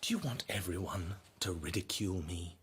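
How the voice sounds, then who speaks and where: A man playing a proud, stubborn English husband in a radio drama, condescending and firm, with a husky voice. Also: deep voice, expressive, normal speed, background noise. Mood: indignant, stubborn.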